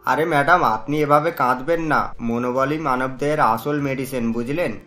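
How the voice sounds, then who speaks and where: A man's voice: medium pitch, a bit bright, soft background music, lightly acted. Reassuring cartoon doctor, acted by a man. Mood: reassuring, firm. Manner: measured, consoling, lecturing. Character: calm reassuring male doctor advising a patient. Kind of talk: cartoon story dialogue.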